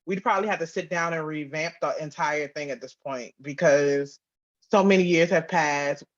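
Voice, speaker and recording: A woman's voice: low-pitched, moderate pace, dull audio. A woman giving casual, candid interview answers as a guest author, chatty and emphatic. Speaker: guest, author and audio drama writer-producer.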